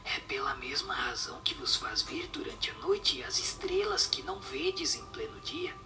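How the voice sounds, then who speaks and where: Actor as otherworldly instructive spirit for radio drama: whispered, sharp-edged, swooping intonation, solemn and didactic, music underneath. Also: mid-range voice, wildly swooping pitch, normal speed, far from the mic, heavily acted. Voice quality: breathy, whispered, creaky voice. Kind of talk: radio drama question-and-answer dialogue.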